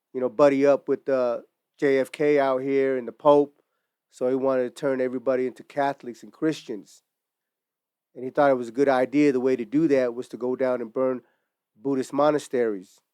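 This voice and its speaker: A man in his forties talks earnestly and reflectively, with casual slang running through his storytelling. His voice is smooth and clear at an ordinary middle pitch. The sound is dry and close, with no room echo. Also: a little mellow. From Utah, United States. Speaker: merch brand founder. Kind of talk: earnest personal monologue to camera. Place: indoors in front of merchandise display.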